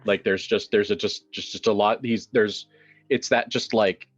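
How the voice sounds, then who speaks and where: A man improvising narration for a tabletop role-playing game in a halting way, often repeating words as he searches for the next one. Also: on the low side, fairly even intonation, breathy edge, muffled recording. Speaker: tabletop role-player narrating his character. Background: background music.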